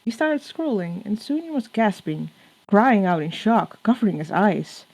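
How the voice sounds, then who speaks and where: A woman's voice: mid-range voice, very animated, normal speed, a little mellow, poor audio. A woman reading her own piece of fan fiction aloud as a story.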